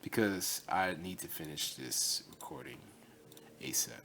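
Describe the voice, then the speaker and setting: A man's voice: low-pitched, very animated, measured pace, vocal fry, sharp tone, slight background noise. Casual man reading voice-over takes in an echoey room. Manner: casual, restarts lines and corrects his own reading. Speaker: video essay narrator. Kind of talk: recording voice-over takes with off-script chat. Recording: roomy echo, distant mic, faint ambience.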